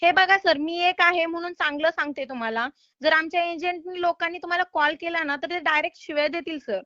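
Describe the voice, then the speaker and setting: A woman's voice: very high voice, fairly quick, pushed and bright, dry recording. A woman making a loan recovery call in an insistent, polite but exasperated manner. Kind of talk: phone collection call. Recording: slightly dull phone-call audio.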